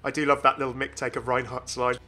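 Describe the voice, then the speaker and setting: Fast, bright, enthusiastic male gaming host. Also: medium pitch, flat delivery, soft background music. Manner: enthusiastic, chatty. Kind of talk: informal video game commentary.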